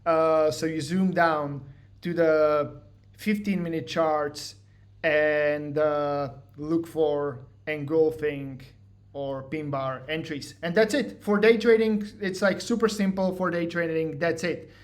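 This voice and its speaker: A man's voice: fairly high pitch, steady tone, slow. A man with a Slovak accent walking through trading charts in a casual, joking way, mocking himself and filling his talk with "like" and "you know". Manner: joking, self-mocking, filler-heavy. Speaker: trading educator. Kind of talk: casual screen-share walkthrough.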